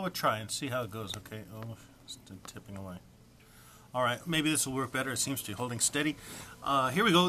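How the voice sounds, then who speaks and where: Bright-voiced man, mock-solemn and barely composed. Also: mid-range voice, a little hiss or hum. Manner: mock-solemn, struggling not to laugh. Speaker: amateur reader recording for an online post. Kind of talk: informal introduction, then reading comic text aloud.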